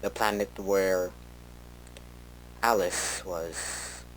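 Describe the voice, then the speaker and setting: Slow-talking, low-voiced man rambling through a research log. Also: fairly even intonation, fairly bright tone, a little hiss or hum, low-quality recording. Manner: hesitant, conversational, rambling, self-interrupting. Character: rambling robot-world researcher recording an informal log tape. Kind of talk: in-character monologue for a fan horror audio log.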